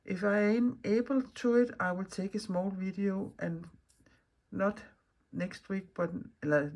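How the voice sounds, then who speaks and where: A woman from Denmark with a Danish accent, chatting casually and unscripted as a craft vlog host, rambling and searching for words in halting non-native speech. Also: low voice, creaky, a little mellow, slightly rough. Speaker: mixed media artist and video host.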